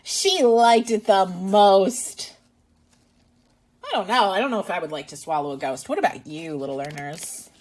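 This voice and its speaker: A woman's voice: mid-range voice, lively intonation, moderate pace, darkish tone. A woman reading a storybook aloud to young children as a teacher and host, with exaggerated expression and asides to the listener.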